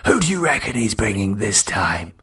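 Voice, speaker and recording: A man's voice: fairly low pitch, big pitch swings, normal speed, bright, forceful tone, recorded from a distance. A man voicing a mocking dead man who goads and sneers. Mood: taunting. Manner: taunting, sneering.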